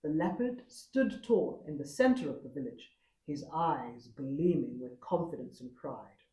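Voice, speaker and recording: A woman storyteller narrating a folktale expressively, with a dramatic, deliberate manner. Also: deep voice, lively intonation, mellow, echoey room, rough recording.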